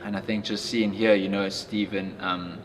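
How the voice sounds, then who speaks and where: A young man reading a life story aloud, then reflecting in a halting way with lots of fillers. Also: low-pitched, level tone, slight background noise. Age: young adult. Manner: halting, reflective when speaking freely, with many fillers. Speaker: youth reader.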